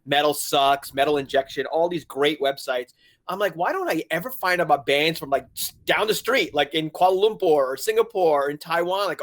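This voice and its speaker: A man in his forties from Hong Kong, a website founder telling his story in a casual interview, animated and laughing often as he acts out his own past thoughts. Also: on the high side. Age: 40s.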